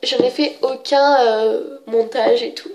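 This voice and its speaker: A woman's voice: on the high side, fairly even intonation, slow pace, heavy background noise. Chatty woman with a cold. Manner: chatty, hesitant, many fillers and self-corrections. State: has a cold. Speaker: manga collector showing her books. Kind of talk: casual show-and-tell.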